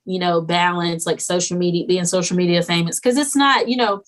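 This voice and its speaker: A young woman teacher chatting as a podcast guest, animated and laughing often, slipping into quoted voices as she tells stories. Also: lowish voice, brisk. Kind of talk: casual podcast interview.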